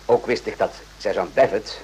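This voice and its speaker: A man playing a composed military officer who tells his story deliberately in a radio drama. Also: lowish voice, a little mellow, natural voice. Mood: composed, explaining. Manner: measured, deliberate explaining. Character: composed, methodical military officer recounting a spy affair. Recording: faintly noisy, humming old radio recording.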